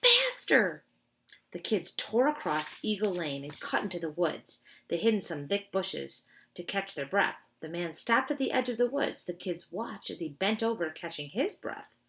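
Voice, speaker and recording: A woman's voice: fairly low pitch, expressive, normal speed, few pauses. A woman reading a children's storybook aloud expressively, with informal asides to her listeners.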